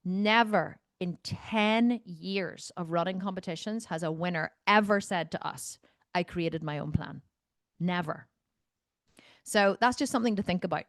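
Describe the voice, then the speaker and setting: A woman's voice: on the low side, unhurried, dry recording, clean studio sound. A woman working as a fitness coach, talking bluntly and insistently on a video call, repeating phrases for stress. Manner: blunt, no-nonsense.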